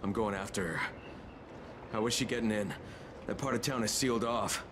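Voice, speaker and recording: A man's voice: lowish voice, fairly even intonation, a little fast, background noise, lightly acted. A man playing a gritty, determined survivor in a zombie video game, terse, urgent and given to swearing. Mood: urgent, resolute. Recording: loud game music behind.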